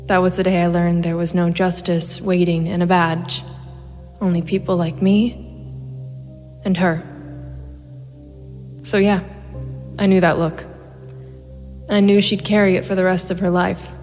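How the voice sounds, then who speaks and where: Woman playing a grim, low-voiced female vigilante. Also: level tone, unhurried, long pauses, a little mellow, long reverb tail, close to an everyday voice. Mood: bitter, resolute. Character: grim female masked vigilante private investigator, cold and methodical. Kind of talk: first-person noir audio drama narration. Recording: music bed behind the voice.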